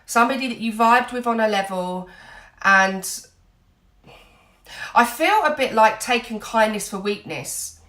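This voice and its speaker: A woman's voice: on the high side, measured pace, pauses often, sharp tone, roomy sound. A woman giving an earnest, reassuring tarot reading while full of cold, dotting her talk with "you know". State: has a cold, a bit snotty. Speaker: tarot reader.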